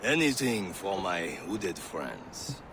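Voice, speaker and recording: A male spymaster, well informed and proud of it. His voice is lowish and obliging, in game drama style, over clearly noisy outdoor surroundings. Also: sing-song, takes it slowly, exaggerated voice. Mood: obliging, pleased. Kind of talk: dramatic video game cutscene acting. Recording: noisy outdoor ambience behind dialogue.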